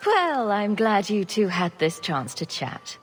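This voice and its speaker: A woman's voice: medium pitch, sing-song, slow, breathy edge, faint music behind, full character voice. A woman voicing a sardonic, confident noble adventurer in a video game, with a dry, wry delivery. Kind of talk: video game cutscene acting.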